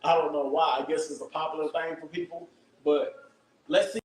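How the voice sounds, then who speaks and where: A man hosting a live stream, loud and profane, constantly talking to his viewers. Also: a little high, fairly even intonation, brisk, reverberant, slight background noise, low-quality recording. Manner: loud, hyped, profane, keeps addressing viewers. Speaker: livestream host. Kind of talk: casual live-stream chat.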